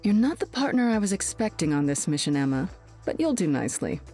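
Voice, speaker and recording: A self-assured female spy for a video game. Her voice is dark and on the low side, dry and cool in delivery, with faint music behind. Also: very animated, fairly quick. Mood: cool, wry. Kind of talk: video game character dialogue.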